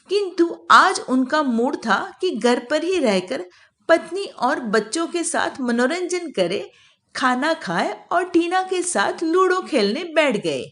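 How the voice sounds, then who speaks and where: A woman's voice: high-pitched, a bit bright. A woman reading a scripted children's moral story as a storyteller.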